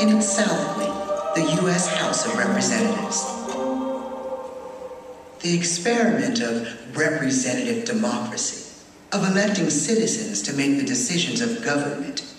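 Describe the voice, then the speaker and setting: A man with an American accent narrates history solemnly and deliberately, heard from a distance in a big reverberant hall. Loud music plays behind his measured, dramatic delivery. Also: medium pitch. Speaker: documentary narrator.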